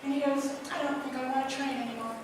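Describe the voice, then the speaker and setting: Energetic woman speaking on stage. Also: high voice, quick pace, noisy background. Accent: Trinidadian. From Trinidad. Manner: energetic, asks the crowd questions, tells personal stories. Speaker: motivational speaker. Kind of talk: live stage talk to an audience. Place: large hall with an audience. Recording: echoey hall, mic heard from a distance.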